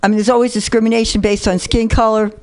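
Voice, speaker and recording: A woman's voice: on the high side, flat delivery, fast, darkish tone, clean tone. A woman from the Bronx in New York hosting an interview, speaking casually and sharing recollections in conversation. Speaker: interview host.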